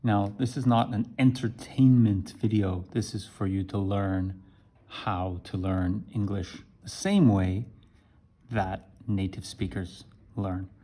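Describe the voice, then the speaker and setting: A man teaching an English lesson to camera, talking slowly and deliberately and checking in with "right?". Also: on the low side, fairly even intonation, warm tone. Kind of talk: explaining a language lesson to camera.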